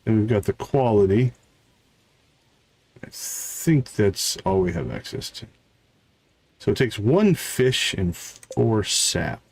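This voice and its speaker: A man's voice: lowish voice, very animated, takes it slowly, creaky, pauses often, darkish tone, husky, no room sound. A man giving casual live game commentary as a streamer, thinking aloud and chatting to viewers.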